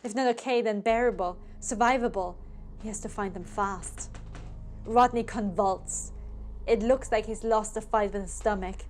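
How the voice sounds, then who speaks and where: A woman's voice: mid-range voice, moderate pace, slight background noise. A woman storyteller reading a scripted tale in a theatrical, suspenseful manner. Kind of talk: dramatic scripted story narration. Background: music.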